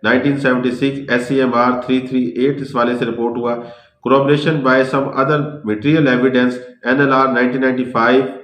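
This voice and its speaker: A man with a Pakistani accent reading out a law lecture in a deliberate, formal manner, switching between English and Urdu. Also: medium pitch, level tone, a bit bright. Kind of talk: scripted legal lecture, reading out points. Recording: echoey room.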